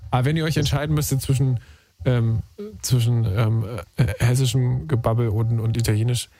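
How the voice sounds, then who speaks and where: A man hosting a late-night radio call-in show from a studio, bantering and teasing his callers in a playful, quick way. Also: mid-range voice, steady tone, crowd noise. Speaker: radio host. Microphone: studio microphone.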